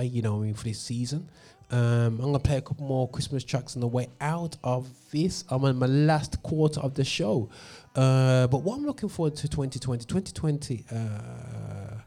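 A man's voice: medium pitch, dark tone. A man hosting a gospel radio show, ranting passionately with lots of fillers, recorded in a studio. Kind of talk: opinionated radio monologue.

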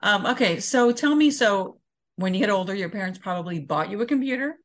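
A woman's voice: mid-range voice, expressive, a little fast. A middle-aged woman co-hosts a podcast interview. She asks casual questions, laughs readily and often leaves sentences unfinished. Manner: friendly, laughs often, trails off. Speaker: podcast co-host.

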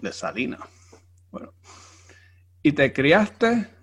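A man's voice: a little high, wildly swooping pitch, takes it slowly, fairly bright tone, husky. A man hosting a podcast, giving a formal, announcer-like introduction and asking interview questions. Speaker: podcast host.

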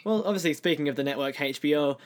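A man's voice: on the high side, quick pace. A man hosting a podcast interview in casual conversation, with some stumbling and repeated words.

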